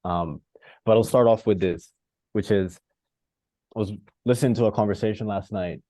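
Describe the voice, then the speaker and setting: A man's voice: fairly low pitch, moderate pace, warm tone, no room sound. A man from Costa Rica speaking in an online discussion. He thinks aloud and wanders, with lots of fillers and restarts. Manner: rambling and searching, many fillers and restarts. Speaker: participant. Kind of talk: reflective discussion on a video call. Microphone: video call.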